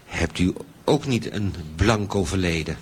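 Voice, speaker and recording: Low-voiced scheming crime writer. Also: male voice, animated, a little slow, slightly rough, exaggerated voice. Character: scheming male detective writer, persistent and calmly menacing. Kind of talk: improvised radio drama acting.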